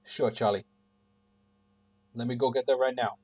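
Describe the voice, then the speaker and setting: A grim police detective, acted by a man in a radio play. His voice sits at a middle pitch and sounds world-weary, with a faint hum and noise behind it. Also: steady tone, moderate pace, a little mellow, lightly acted. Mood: gentle, careful. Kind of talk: radio drama dialogue. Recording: clear hum and background noise.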